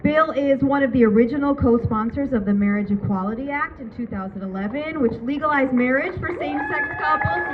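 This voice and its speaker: Female ceremony MC, high register, making introductions, dull-sounding outdoor recording with loud ambient noise. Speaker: event host. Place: outdoors at a rainy public ceremony.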